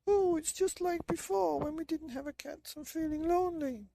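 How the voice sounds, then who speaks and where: A man's voice: very high-pitched, normal speed, gravelly, mellow, voice pushed up. A man voicing a very old woman, anxious, lonely and bossy, with a plaintive, scolding manner, in a bedtime storybook reading.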